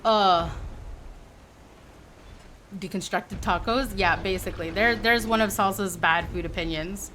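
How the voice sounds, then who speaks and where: A woman in her forties livestreams and chats with her viewers in a casual, expressive way. She teases and jokes as she goes. Her pitch sits in an ordinary middle range for a woman. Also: measured pace, pauses often, slight background noise. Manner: chatty and expressive, teasing and playful.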